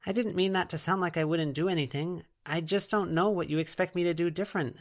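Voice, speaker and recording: A woman's voice: lowish voice, fairly even intonation, fast, pitched above the performer's own voice, natural voice. A woman voicing a frightened young woman who asks upset, pleading questions in an audiobook. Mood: anxious.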